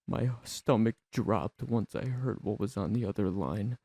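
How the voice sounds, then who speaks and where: A man narrating a first-person horror story in a tense, dramatic manner with theatrical pauses. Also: on the low side, gravelly, warm tone, slightly rough, no echo.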